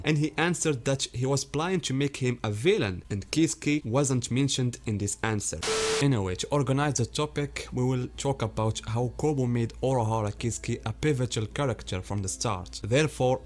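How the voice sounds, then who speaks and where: Man explaining to his viewers in accented English. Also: mid-range voice. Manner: explanatory, addresses viewers directly. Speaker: anime theory YouTuber. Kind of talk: scripted video commentary.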